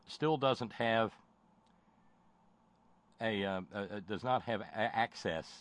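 A man with a low voice keeps up a sarcastic running commentary, heaping up colorful insults. He stumbles over repeated words as he talks and swings between grumbling and loud jabs. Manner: mocking, piles up slangy insults, stammers on repeated words. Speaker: livestream commentator.

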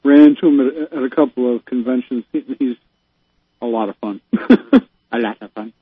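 A man's voice: mid-range voice, normal speed, slightly rough, no room sound. A man calling into a talk show, speaking in an exasperated, emphatic way. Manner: exasperated, emphatic, given to sighs. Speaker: caller. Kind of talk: casual phone chat. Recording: tinny phone line.

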